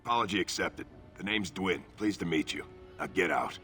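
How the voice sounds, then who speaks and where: A gruff dwarf trader in a fantasy game, low and forceful. He is curt and dryly sarcastic, with faint music behind. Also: normal speed. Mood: sardonic, annoyed. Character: gruff, sardonic male dwarf trader and veteran. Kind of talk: fantasy video game acting.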